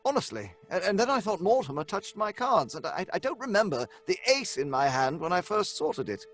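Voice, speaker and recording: Young British gent, jittery and earnest, wildly varied intonation, old-time radio drama, faint music behind. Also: male voice, high-pitched, sing-song, a little fast, fairly bright tone, heavily acted. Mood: uneasy. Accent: British English. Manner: hesitant, jittery. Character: nervous, earnest young English gentleman spooked at cards.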